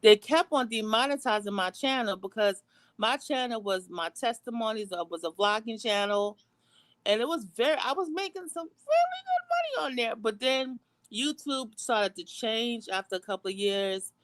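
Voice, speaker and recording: Lively teasing New York woman. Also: fairly high pitch, normal speed. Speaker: livestream guest. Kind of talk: casual livestream chat.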